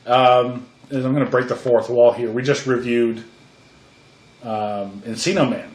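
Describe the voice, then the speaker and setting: A man's voice: medium pitch, fairly even intonation, unhurried, a little mellow. A man from Canada co-hosting a movie podcast, making dry, wry asides. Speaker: podcast co-host. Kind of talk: casual movie chat. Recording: roomy echo.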